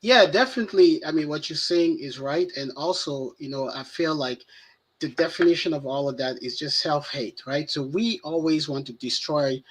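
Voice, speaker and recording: High-voiced French-accented man. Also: normal speed, slightly rough. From France. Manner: conversational, frequent "right?" and "you know" tags. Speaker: podcast co-host. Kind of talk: casual discussion.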